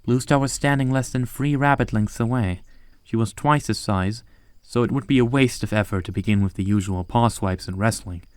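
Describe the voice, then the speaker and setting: A man with a fairly low voice narrates a scripted story as an audiobook reader. The recording is dry and close, with no room sound around him. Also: normal speed, hardly any pauses, darkish tone, smooth, no echo.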